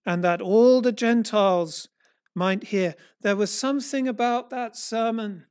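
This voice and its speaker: A middle-aged man, a church elder, preaching a sermon from a lectern in a small church hall, earnest and measured, rising and falling in force. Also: high-pitched, darkish tone. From England. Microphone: far camera, standing at a lectern.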